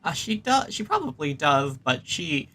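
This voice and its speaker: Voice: medium pitch, sing-song, unhurried, pushed and bright. A game streamer giving casual live reaction commentary during play.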